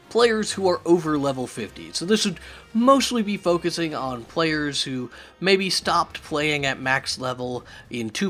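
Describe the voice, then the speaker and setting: A man hosting a channel, recapping game news with opinions and many fillers, his voice raspy and hoarse from a cough. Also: medium pitch, a bit bright, a little hiss or hum. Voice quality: raspy, strained. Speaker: YouTube channel host.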